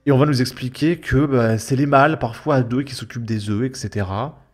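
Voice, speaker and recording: A man's voice: medium pitch, brisk. A young man giving casual, mocking reaction commentary in a slangy, sarcastic, exasperated way. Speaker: YouTube commentator. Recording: music behind the voice. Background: background music.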